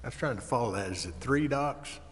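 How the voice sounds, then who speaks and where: A man's voice: medium pitch, lively intonation, a little fast. A man making casual remarks as a council member at a meeting. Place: large indoor council chamber. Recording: reverberant council chamber, room noise.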